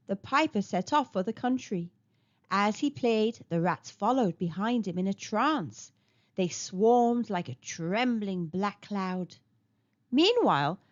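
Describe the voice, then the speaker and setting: Woman storyteller, children's read-aloud, lively, high voice, dull lo-fi sound. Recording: dull lo-fi recording.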